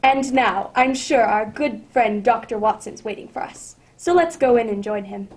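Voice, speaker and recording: A woman's voice: fairly high pitch, moderate pace, background noise, natural voice. A woman playing an affable old-time radio announcer with chummy, polished patter. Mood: salesmanlike cheer. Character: Mister Bartell, affable radio announcer and eager guest.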